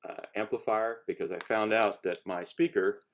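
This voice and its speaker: Low, gravelly man giving a casual demo. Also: fairly even intonation, normal speed, slight background noise. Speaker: hobbyist cosplay maker giving a demonstration. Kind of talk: casual unscripted how-to explanation.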